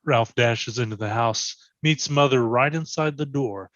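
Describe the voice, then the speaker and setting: A man's voice: mid-range voice, measured pace, fairly bright tone, no room sound. A man reading stage directions as dramatic scripted narration for a readers theatre. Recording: dull, lo-fi sound.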